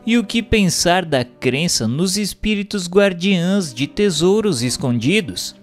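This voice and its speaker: A man reading scripted narration in a formal, measured manner, posing questions and commentary like an audiobook narrator. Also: high voice, animated. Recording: long hall reverb. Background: music.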